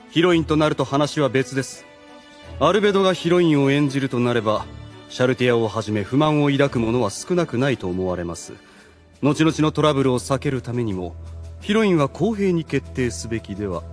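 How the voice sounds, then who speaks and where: A man playing a suave, calculating demon retainer in an anime audio drama, speaking with formal courtesy and measured persuasion. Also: mid-range voice, expressive, faint music behind, natural voice. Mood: smooth, reasoning.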